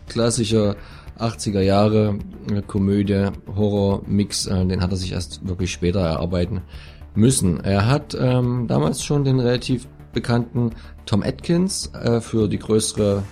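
A man's voice: fairly low pitch, measured pace, mellow, faint music behind. A man giving an informal film review, hesitant and searching for words as a radio reviewer.

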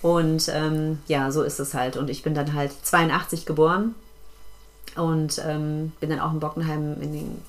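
A woman's voice: sits low, little pitch movement, moderate pace. A woman in her thirties from Frankfurt, a guest telling her life story in casual chat, with many fillers and laughs. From Frankfurt, Germany. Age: 30s.

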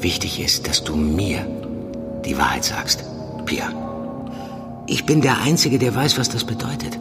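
An actor playing a middle-aged undercover police handler in an audio drama, speaking in measured, deliberate phrases as a controlled, probing mentor. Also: male voice, low voice, big pitch swings, over music. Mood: quietly insistent. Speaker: actor in fiction podcast. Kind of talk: naturalistic German audio drama acting.

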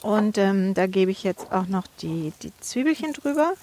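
A woman's voice: mid-range voice, lively intonation, normal speed, a little mellow, slight background noise. A woman from Swabia in her fifties, chatting casually while she cooks and explains each step. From Swabia, Germany. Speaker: cook. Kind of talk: casual cooking chat.

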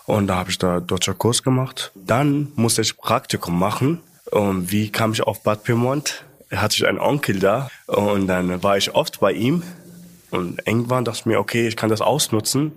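Low-voiced young man. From Sudan, raised in Libya. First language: Arabic. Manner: hesitant, simple learner's German. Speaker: trainee, shift leader. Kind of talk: interview guest, casual.

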